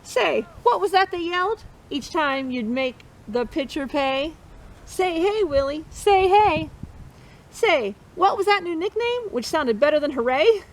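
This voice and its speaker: A woman's voice: extremely high pitch, animated, unhurried, poor audio. A woman reading a picture book aloud to children as storytime host, encouraging listeners and chanting along with them. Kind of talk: interactive read-aloud for children.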